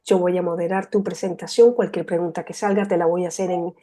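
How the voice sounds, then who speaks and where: A woman's voice: fairly low pitch, fairly even intonation, fast, dark tone. A woman moderating a webinar, giving a semi-formal introduction in a hesitant way, repeating words as she goes.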